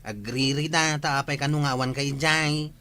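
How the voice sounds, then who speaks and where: A man's voice: fairly high pitch, steady tone, unhurried, pushed and bright, clear, resonant tone. A man with an Ilocano accent acting a nagging village messenger in a radio comedy drama. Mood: urging.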